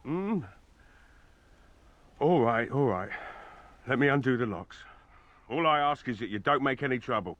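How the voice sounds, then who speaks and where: A man's voice: mid-range voice, expressive, a little slow, long pauses, close to an everyday voice. An actor playing a fed-up, wary man in a video game, grumbling defensively, in muffled audio. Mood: wary, weary. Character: fed-up, wary man behind a locked door.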